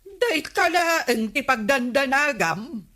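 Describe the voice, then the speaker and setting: An actress playing a fretful, gossipy village wife with a Filipino accent, complaining emphatically in a radio comedy drama. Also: female voice, sits high, very animated, slow pace, sharp tone, full character voice.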